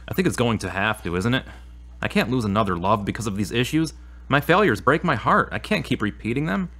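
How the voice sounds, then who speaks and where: A man's voice: mid-range voice, lively intonation, fairly quick, a bit bright. A man playing a remorseful, troubled figure struggling with his anger in an audio drama, earnest and confessional.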